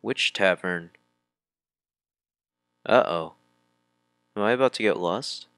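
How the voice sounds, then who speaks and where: A man's voice: deep voice, slow, fairly bright tone, clear, resonant tone, no room sound, soft background music. A man giving casual let's play commentary, reading dialogue aloud and muttering informal asides to himself. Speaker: video game let's player.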